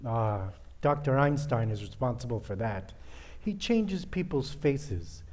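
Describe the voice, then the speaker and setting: A man's voice: mid-range voice, animated, unhurried, a little mellow, lightly acted. A man playing a sinister, domineering long-lost nephew in an old-time radio comedy, commanding and insistent.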